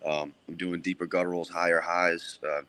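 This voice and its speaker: A man, answering interview questions in a relaxed, plain-spoken way with many ums and restarts. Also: low-pitched, normal speed, clean tone. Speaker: metal band vocalist, guest.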